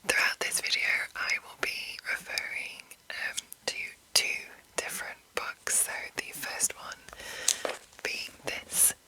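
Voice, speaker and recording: A woman whispering softly and unhurriedly in an ASMR style, explaining things in a loose, rambling way with drawn-out pauses. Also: rough recording. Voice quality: breathy unvoiced whisper. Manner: slow, hushed, drawn-out pauses. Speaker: ASMR host introducing a roleplaying game.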